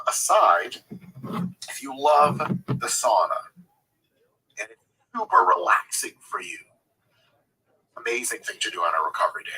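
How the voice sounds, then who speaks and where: A man with a very bright, husky voice that swings up and down as he talks fitness. He is a foul-mouthed, irreverent coach who wanders into jokey digressions and walks through lifting technique step by step. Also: fairly low pitch, low-quality recording. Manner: profane, irreverent, joking digressions; demonstrates lifting technique while talking. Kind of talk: casual advice monologue.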